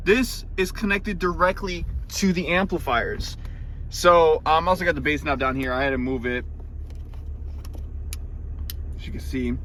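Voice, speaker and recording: A man's voice: a little high, bright, forceful tone. A man chatting casually to camera as a car audio vlogger, in a rambling, informal way with some stuttering and repeated words, recorded inside a car. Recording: noisy vehicle interior.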